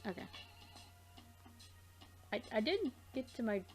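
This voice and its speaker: A woman's voice: a little high, expressive, a little slow, slightly rough, soft background music. A woman hosting a let's play, chatting casually in a rambling way that often loses its thread. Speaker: let's play host and amateur voice dubber. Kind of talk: casual rambling gameplay chat.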